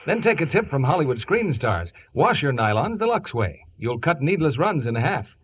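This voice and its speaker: Fifties radio ad guy, husky and lively, muffled old recording. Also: fairly high pitch, moderate pace. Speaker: radio commercial announcer. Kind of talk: scripted 1950s radio sponsor announcing.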